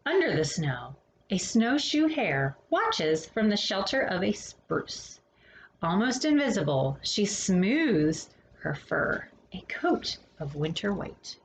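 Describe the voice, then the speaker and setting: Animated, unhurried woman reading a storybook aloud. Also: medium pitch. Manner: animated, dramatic pauses between phrases. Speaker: storytime host reading a children's picture book. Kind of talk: expressive read-aloud with casual asides. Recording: roomy echo with faint background noise.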